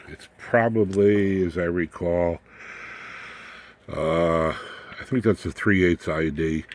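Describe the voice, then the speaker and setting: Man, low-pitched voice, folksy rambling explainer, informal hobbyist walkthrough, faint background noise, handheld camera audio. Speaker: electronics hobbyist host. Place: indoor home electronics workbench. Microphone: handheld camera or phone mic, off screen.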